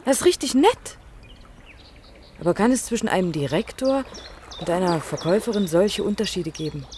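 A woman's voice: mid-range voice, animated, darkish tone, a little hiss or hum, natural voice. An actress playing a cheeky, outspoken young woman in her early twenties, teasing and ironic, in a naturalistic German radio drama. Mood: spirited, argumentative. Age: young adult. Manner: teasing, ironic, quick-witted.

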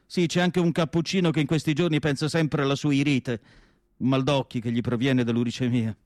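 An actor playing a reflective, melancholic asylum doctor writing his diary, in a solemn, measured radio drama monologue with deliberate pauses. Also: medium pitch, fairly even intonation, darkish tone, dry recording, clean studio sound, lightly acted. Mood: reflective, recollecting.

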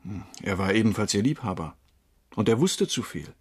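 An actor playing an earnest, knowing lover in a German radio crime drama, with a husky voice and an intent, confiding manner. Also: male voice, lowish voice, expressive, moderate pace. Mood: grave, explaining. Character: tall dark-haired male lover, earnest, knowing, quietly flirtatious.

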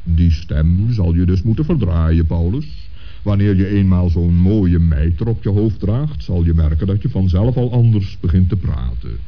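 A very deep-voiced old Saint Nicholas figure from a children's radio play, on a dull old recording. He is stately, kindly and patient, reassuring in a measured way. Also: lively intonation.

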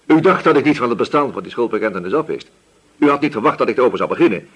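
An actor playing a stern, relentless, sardonic police inspector who questions insistently in a radio play. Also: male voice, medium pitch, animated, racing, fairly bright tone, breathy edge. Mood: accusing, forceful. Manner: probing, insistent, threatening. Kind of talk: Dutch radio play dialogue.

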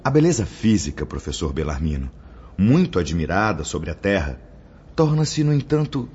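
Actor as a solemn deep-voiced spirit teacher. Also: male voice, big pitch swings, darkish tone, husky, full character voice. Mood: solemn, reverent. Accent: Brazilian. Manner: reverent, declamatory, measured. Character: Brother Clemente, solemn male spirit teacher instructing pupils. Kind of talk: Brazilian radio drama acting. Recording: dull lo-fi audio under music.

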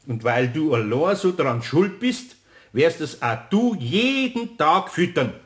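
A man's voice: fairly high pitch, big pitch swings, takes it slowly. An actor playing a grumbling but kind-hearted older Bavarian craftsman in a children's radio play, scolding in a folksy way. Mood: stern, scolding.

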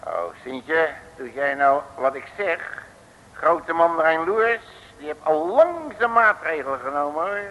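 A cocky, jovial detective from a mid-century comic radio play. The voice sits high and swings widely, with slangy, folksy swagger, on an old radio recording. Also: male voice, lively intonation, unhurried, narrow, tinny audio. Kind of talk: 1950s-60s comic radio play acting.

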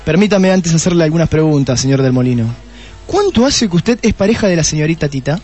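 An actor playing a shrewd, testy police detective in a radio play, with an Argentine accent and a deliberate way of questioning. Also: male voice, a little high, expressive, darkish tone, soft background music, close to an everyday voice. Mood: probing, insinuating. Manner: deliberate, repeats answers back pointedly. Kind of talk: Spanish-language radio play acting.

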